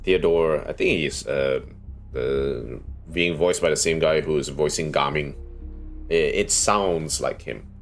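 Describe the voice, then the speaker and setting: Very deep, slow male streamer. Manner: low-key, hesitant, murmured reactions. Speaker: game livestreamer. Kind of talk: casual stream commentary. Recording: game music behind.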